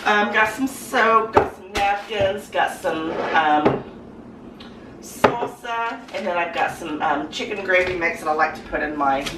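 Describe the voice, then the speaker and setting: Hoarse, low-voiced woman. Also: unhurried. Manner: rambling, chatty, folksy with many ums. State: hoarse from a cold. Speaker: cooking vlogger. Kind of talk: casual chat to camera. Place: home kitchen. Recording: noisy home recording.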